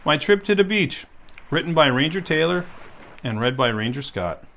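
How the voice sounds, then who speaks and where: Male park ranger reading a story to young kids, gentle and questioning, slightly raised voice. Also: fairly high pitch, very animated, moderate pace, slight background noise.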